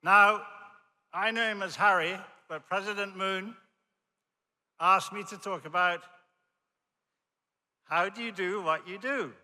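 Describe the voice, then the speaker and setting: A man's voice: high voice, bright, forceful tone. An older man from Jamaica with a Jamaican accent, giving a friendly, storytelling commencement speech from a podium. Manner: friendly, storytelling, self-deprecating. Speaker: commencement speaker. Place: large hall. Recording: reverberant hall.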